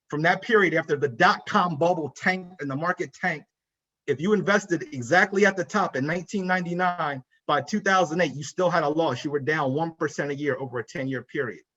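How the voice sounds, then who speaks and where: A man's voice: fairly high pitch, fairly bright tone, dull audio. A man in his forties, a financial advisor giving an emphatic conference talk, repeating key points and speaking straight to the audience. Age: 40s. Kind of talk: conference presentation. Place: conference room.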